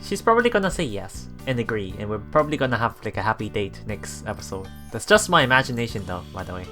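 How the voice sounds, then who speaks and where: A let's play host casually commenting on a visual novel and reading its lines aloud in a halting, chuckling way. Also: medium pitch, sing-song, fairly bright tone. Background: visual novel background music.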